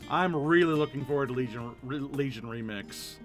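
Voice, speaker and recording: A man's voice: mid-range voice, normal speed, faint music behind. A man chatting casually on a livestream while he plays a game. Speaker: game streamer.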